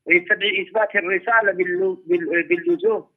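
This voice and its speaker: High-pitched man lecturing, thin radio-like sound. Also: steady tone, very fast. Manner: emphatic, repeats phrases and poses rhetorical questions. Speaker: religious teacher giving a class. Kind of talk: religious lecture, explaining a text aloud. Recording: muffled narrow-band radio-like sound.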